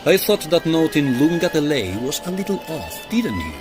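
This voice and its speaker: An actor playing a wry, self-assured opera singer who also narrates a period audio drama in a measured, sly way. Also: male voice, a little high, sing-song, over music. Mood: smug.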